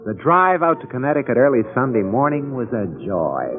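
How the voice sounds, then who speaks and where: A man's voice: mid-range voice, big pitch swings, a little fast, phone-quality sound, natural voice. An actor playing a wry, harried middle-aged American father, narrating and speaking dryly in an old-time radio drama. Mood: wry narration. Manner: dry, wry. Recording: thin band-limited old radio recording, music behind.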